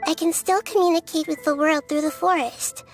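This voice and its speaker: A soft, wise child goddess. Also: female voice, high-pitched, a little fast, faint music behind. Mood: calm. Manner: calm, soft-spoken, resolute. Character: young goddess in a child's form, wise and gentle. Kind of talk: video game voice acting.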